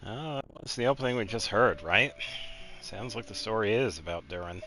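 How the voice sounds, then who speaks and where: A man's voice: lowish voice, animated, normal speed, bright, forceful tone, soft background music, poor audio. A man playing a game and commenting casually, musing aloud in an offhand way. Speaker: gaming streamer.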